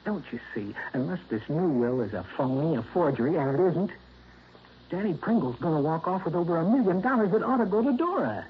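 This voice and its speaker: A man's voice: a little high, big pitch swings, brisk, a little hiss or hum. An earnest, defensive claims man. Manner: hesitant, defensive, earnest protests. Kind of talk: 1960s radio drama acting. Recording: dull lo-fi vintage radio broadcast.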